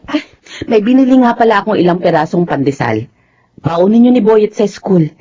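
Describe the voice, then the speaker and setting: Actress as gentle struggling mother, mellow timbre, Filipino accent, dull lo-fi recording, radio drama. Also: mid-range voice, animated, normal speed, natural voice. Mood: tender, weary. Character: gentle, long-suffering, poor mother.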